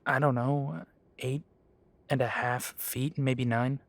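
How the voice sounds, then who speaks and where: Man playing a slow, guarded young werewolf. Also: medium pitch, fairly even intonation, vocal fry. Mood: doubtful, defensive. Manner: blunt, defensive, hesitant. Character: guarded, self-doubting young male half-breed werewolf. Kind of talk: scripted roleplay audio drama.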